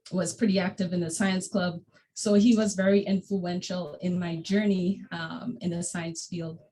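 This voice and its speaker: A woman in her forties from Trinidad, a dentist introducing herself on a video call, friendly and brisk. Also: lowish voice, a little mellow, a little hiss or hum, poor audio. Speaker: dentist, alumna speaker. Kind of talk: virtual panel introduction.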